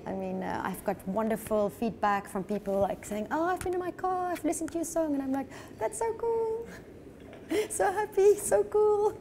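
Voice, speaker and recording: Mellow-voiced woman from South Africa. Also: high voice, animated, moderate pace, slight background noise. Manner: dreamy, laughs often, gushing. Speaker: musician guest. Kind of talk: relaxed interview chat. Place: studio lounge, indoors.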